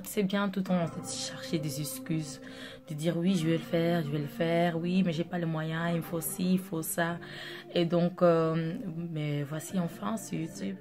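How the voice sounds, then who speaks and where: A woman's voice: on the low side, warm tone. A young woman from Angola whose first languages are Dutch and Portuguese, chatting casually and rambling with many fillers as a makeup artist vlogger. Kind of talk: casual chat to camera. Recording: music playing under the voice.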